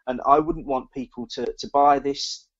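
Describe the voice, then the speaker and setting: A man's voice: fairly high pitch, sing-song, normal speed, vocal fry, muffled recording. A British man co-hosting a casual podcast chat, speaking in an opinionated, emphatic way. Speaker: podcast co-host.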